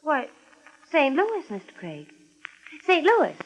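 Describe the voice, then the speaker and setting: A woman's voice: high-pitched, huge pitch swings, slow pace, highs cut off, heavily acted. An actress playing an anxious, evasive young woman hiring a detective, hesitant, in 1950s radio drama style. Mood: hesitant, guarded. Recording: vintage 1950s radio broadcast.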